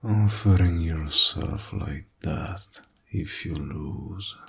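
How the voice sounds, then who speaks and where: A man playing a sly evil warlock in a roleplay audio drama, teasing and deliberate, with a breathy, gravelly low voice. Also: a bit bright, full character voice. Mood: wary, menacing. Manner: slow, deliberate, drawling, insinuating. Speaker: voice actor. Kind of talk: roleplay audio drama, intimate villain monologue.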